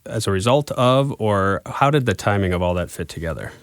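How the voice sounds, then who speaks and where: Man, podcast host; animated, meandering questioner; dry sound. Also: lowish voice, a little fast, clear, resonant tone, no echo. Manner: rambling questions with many backchannels. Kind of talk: casual interview conversation.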